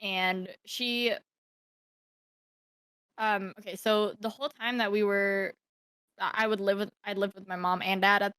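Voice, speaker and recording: Young woman, smooth voice, hesitant storytelling. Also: medium pitch, little pitch movement, measured pace, pauses often, a bit bright, no room sound. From Thailand. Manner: hesitant, many fillers and restarts. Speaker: podcast guest. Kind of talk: casual interview storytelling.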